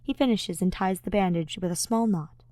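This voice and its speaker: Woman, audiobook narrator, scripted fiction reading, medium pitch, dry recording. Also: normal speed, mellow, dry recording.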